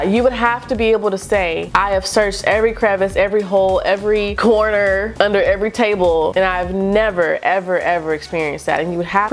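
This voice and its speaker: A chatty young woman talks straight to her camera in a casual vlog, sounding a little stuffy from a cold. She is relaxed and familiar, dropping a "y'all" and small laughs, with faint music behind her. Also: mid-range voice. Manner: chatty, southern-tinged "y'all", laughs lightly. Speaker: vlogger. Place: room lit by natural daylight.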